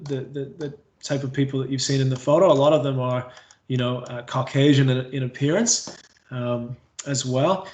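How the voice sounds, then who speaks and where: A Canadian man gives a training talk on pool safety and keeps circling back with fillers and restarts. His pitch barely moves, and the room gives his voice a noticeable echo. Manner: hesitant, many fillers and restarts. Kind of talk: staff training webinar presentation.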